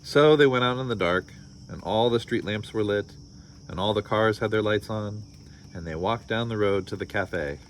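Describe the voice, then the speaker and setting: A man's voice: on the low side, normal speed, slight background noise. An American man reading a children's story aloud, breaking off for wry, casual asides. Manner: wry, joking commentary. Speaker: storybook reader.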